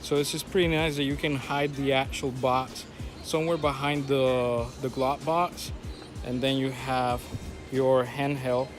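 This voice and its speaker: A man's voice: mid-range voice, little pitch movement, measured pace, over music. A man giving an informal, plain-spoken hands-on walkthrough in a casual vlog style. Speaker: video creator showing a radio install.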